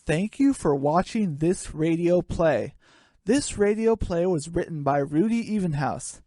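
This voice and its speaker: A storyteller narrating a homemade radio play. The pitch moves around a lot, and the recording is dry with very little room sound. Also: expressive, unhurried, mellow, no echo.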